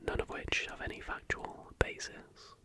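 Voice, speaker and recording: An ASMR host whispering throughout in a slow, unhurried way while presenting to listeners. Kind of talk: ASMR, softly whispered reading of facts with casual asides.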